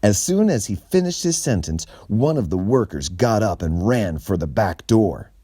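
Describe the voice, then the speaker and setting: A man reading a children's mystery book aloud in a slow, expressive manner. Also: fairly low pitch, breathy edge, no echo, clean studio sound. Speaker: storyteller.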